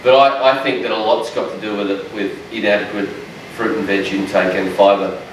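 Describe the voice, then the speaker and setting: A man, a dietitian giving a lecture to health workers in a large room, with a loud, strong voice and a passionate, joking manner. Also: fairly low pitch, fairly even intonation, normal speed, noisy background. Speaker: dietitian presenter. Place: large echoey training room. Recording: echoey room, speaker far from mic.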